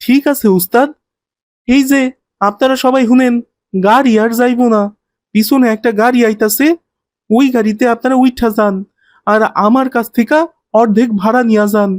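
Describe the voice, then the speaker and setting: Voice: mid-range voice, steady tone, normal speed, pauses often, dry recording, close to an everyday voice. An actor playing a hardworking, aggrieved ten-year-old boy minibus helper in a cartoon, with colloquial Bangladeshi speech and a plain-spoken, complaining manner. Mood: dutiful. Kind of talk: cartoon voice acting.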